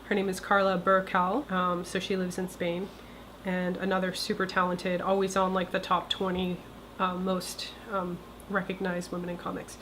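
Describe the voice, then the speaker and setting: A woman's voice: fairly low pitch, monotone, normal speed, a bit bright, rough recording. A woman giving an informal spoken introduction as the presenter of a team project. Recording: faint ambient room noise.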